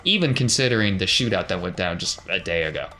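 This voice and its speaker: A man with a fairly low voice runs a tabletop roleplaying game. He sets scenes descriptively in a conversational narrator's manner, with some noise behind him. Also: lively intonation, quick pace, sharp tone. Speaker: game master.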